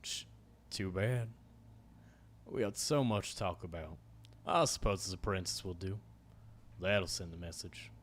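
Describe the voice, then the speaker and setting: A man voicing a menacing male cowboy villain who taunts in a slow drawl. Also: deep voice. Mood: threatening.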